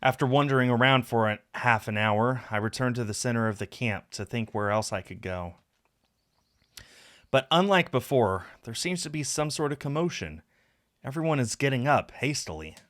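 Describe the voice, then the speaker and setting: A man's voice: medium pitch, animated, normal speed, fairly bright tone. A man in his thirties, a game streamer, reading a visual novel aloud in a dramatic narrating style. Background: game music with guitar.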